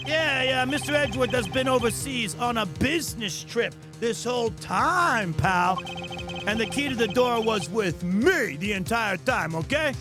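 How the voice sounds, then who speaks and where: A man playing a loyal, bumbling game detective with music behind him. He talks slowly and hesitantly in a folksy, eager way, often stammering. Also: very high voice, lively intonation. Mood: defensive. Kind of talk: video game dub played live.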